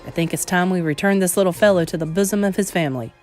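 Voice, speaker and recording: A woman's voice: on the low side, fast talker, darkish tone, soft background music, natural voice. A woman voicing an elderly grandmother who is loving and fiercely protective. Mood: brisk.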